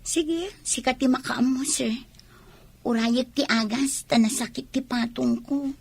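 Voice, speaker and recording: A woman's voice: sits high, unhurried, vocal fry, slightly rough, no room sound, heavily acted. An actress with an Ilocano Filipino accent playing a cunning, pert young con-woman in a radio drama. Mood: complaining. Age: young adult.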